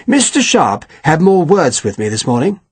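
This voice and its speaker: A man's voice: a little high, very animated, moderate pace, breathy edge, no room sound, pitched above the performer's own voice. A man doing a prim, indignant army captain. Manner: prim, complaining, sticks "sir" on everything.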